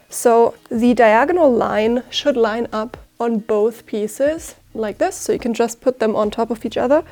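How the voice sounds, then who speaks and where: A woman's voice: a little high, a little mellow. A young woman whose first language is German, talking through a sewing tutorial in an upbeat, chatty, explanatory way. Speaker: sewing teacher.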